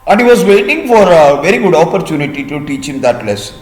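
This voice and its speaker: A man with an Indian accent telling a folk tale to listeners in a deliberate, teacherly way with pauses. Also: a little high, big pitch swings. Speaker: storyteller and host. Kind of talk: storytelling of a folk tale for listeners learning vocabulary. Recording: echoey room.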